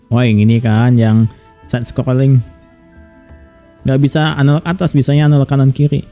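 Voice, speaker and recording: A man's voice: mid-range voice, flat delivery, brisk, mellow, faint music behind. A man with an Indonesian accent giving casual live commentary as he plays a video game. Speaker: game streamer.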